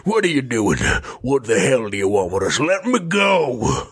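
A man voicing a furious, desperate cowboy father held captive, with a slow, strained, shaky delivery. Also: mid-range voice, big pitch swings, husky. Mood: desperate, angry.

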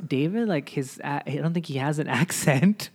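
A man's voice: a little high, moderate pace. A young man from Mexico, casually chatting as a podcast co-host, telling stories with lots of fillers. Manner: relaxed storytelling with frequent "like" fillers. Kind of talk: casual chat.

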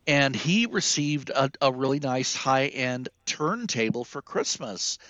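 A man from the Czech Republic talks loosely on a podcast, rambling and trading crude jokes. His voice sits at an ordinary pitch, recorded close and dry with no room sound. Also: expressive, measured pace, fairly bright tone, dry recording, muffled recording. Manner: rambling, crude jokes, frequent fillers and restarts. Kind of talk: casual podcast chat.

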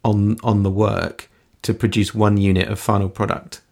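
A man's voice: lowish voice, level tone, moderate pace, gravelly, a little mellow. A man hosting an interview, speaking thoughtfully and hesitantly, repeating words as he works out his point. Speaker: podcast host. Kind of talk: conversational interview.

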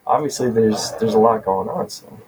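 A man's voice: on the low side, moderate pace, a little mellow, a little hiss or hum, poor audio. A man co-hosting a comic-book podcast in casual chat, rambling and giggling through jokey tangents. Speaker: podcast co-host.

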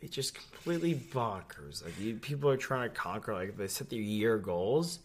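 A young man from New York, a podcast guest, chatting casually in a rambling, animated way with many fillers. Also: fairly low pitch, moderate pace, breathy edge. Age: young adult. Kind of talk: casual chat.

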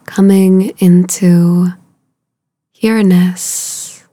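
A woman leading a guided meditation in a soothing, unhurried way, with a soft, breathy voice and long pauses between short phrases. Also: on the low side, fairly even intonation, no echo, studio quality.

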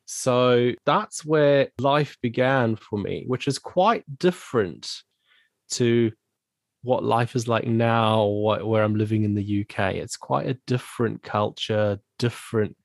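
A man in his forties from Zimbabwe, a church elder telling his life story in an interview, talking thoughtfully with pauses and restarts. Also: mid-range voice, vocal fry, no room sound, studio quality. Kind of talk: conversational interview.

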